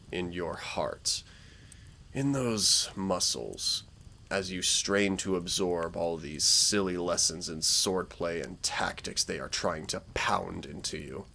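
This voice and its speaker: A man playing a menacing, smooth-talking dark warlord in a roleplay audio drama, theatrical, deliberate and condescending in his persuasion. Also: low-pitched, a little hiss or hum, exaggerated voice. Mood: mocking, intense. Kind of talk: scripted roleplay audio drama, villain monologue.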